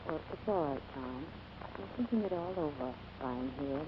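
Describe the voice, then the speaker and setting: An actress playing a wounded, bedridden woman, faint, gentle and resigned, in 1940s radio drama style. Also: deep voice, expressive, moderate pace. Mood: weak, tender. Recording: muffled, noisy 1940s radio recording.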